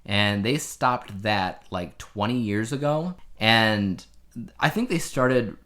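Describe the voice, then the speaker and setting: A man's voice: fairly low pitch, slow, fairly bright tone. Casual male podcast host. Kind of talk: casual news chat.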